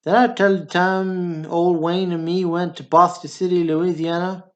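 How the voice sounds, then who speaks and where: A man's voice: high voice, fairly even intonation, moderate pace, lo-fi sound, natural voice. Man acting a brash Texan hellraiser, drawling and profane. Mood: wistful, relishing. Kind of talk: stage-style radio drama acting.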